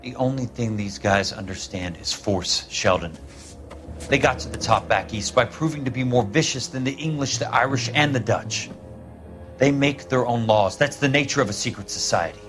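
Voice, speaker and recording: A man's voice: medium pitch, normal speed, over music, lightly acted. A male actor playing a principled, tough war veteran in a video game, blunt, stern and disapproving. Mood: exasperated.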